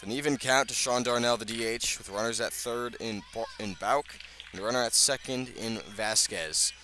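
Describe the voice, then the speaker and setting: A man doing live radio baseball play-by-play, speaking in measured short phrases with pauses between them. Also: mid-range voice, bright, forceful tone, faint music behind. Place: outdoors at a ballpark.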